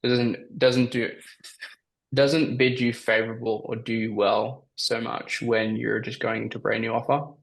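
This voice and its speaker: A man with a slightly raspy voice, coaching on a call and giving casual, emphatic advice as a sales coach. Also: medium pitch, flat delivery, moderate pace, poor audio. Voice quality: raspy from talking all day. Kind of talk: coaching call, answering questions.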